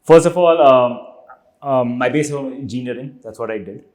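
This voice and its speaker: A man's voice: mid-range voice, moderate pace, a little mellow. A man in his forties with an Indian English accent, a podcast guest rambling through his career story in a chatty way. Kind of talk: casual career interview.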